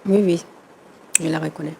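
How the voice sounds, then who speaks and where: A woman gives short, hesitant answers on a talk show. Her voice is lowish and gravelly with fry, and a faint noise lies underneath. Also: fairly even intonation, moderate pace, a little mellow. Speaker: guest on a reconciliation show.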